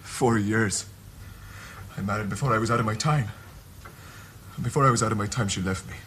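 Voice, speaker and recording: A man's voice: on the low side, a little fast, a bit bright, close to an everyday voice. An actor playing a guilt-ridden, devout married young man in love in a period drama, with earnest, anguished, formal diction and faint noise behind. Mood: ashamed. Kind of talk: period radio drama acting.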